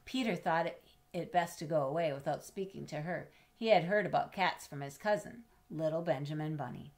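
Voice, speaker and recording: A middle-aged woman reading a children's storybook aloud in an expressive, measured way, as if to young listeners. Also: sits low. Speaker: storybook reader. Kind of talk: children's read-aloud with chatty asides. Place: indoors in a home room with bookshelves.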